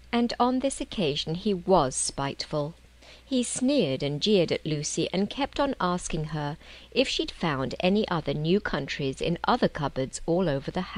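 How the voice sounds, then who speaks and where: A woman narrating a children's storybook in an expressive, measured audiobook style. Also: lowish voice.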